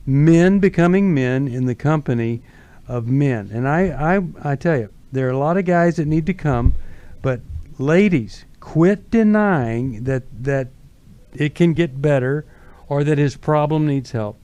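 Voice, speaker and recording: A man's voice: fairly high pitch, warm tone, smooth, no room sound, dull audio. Emphatic middle-aged male radio host. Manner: earnest, emphatic, pausing for effect. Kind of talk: call-in radio talk.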